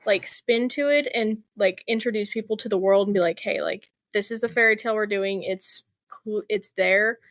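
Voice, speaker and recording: A woman's voice: mid-range voice, moderate pace, no echo, studio quality. A woman speaking as a guest author in a casual interview, chatty and informal, with plenty of "like" and "you know".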